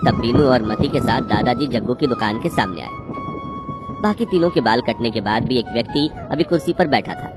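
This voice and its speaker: A man's voice: mid-range voice, animated, fairly quick. A man reading scripted narration for a cartoon horror story. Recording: music behind the voice.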